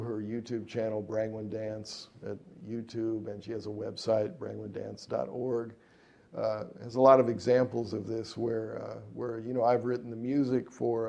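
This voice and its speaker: Gravelly, low-key male jazz musician. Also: warm tone. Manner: rambling, many fillers and restarts. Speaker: jazz trumpet player and composer. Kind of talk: relaxed interview answers.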